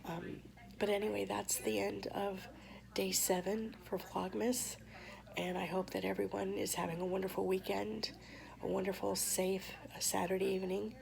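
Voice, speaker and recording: Hoarse, gravelly woman vlogger. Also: medium pitch, flat delivery. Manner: soft, halting, with many pauses and fillers. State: hoarse, losing her voice. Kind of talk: personal storytelling to camera.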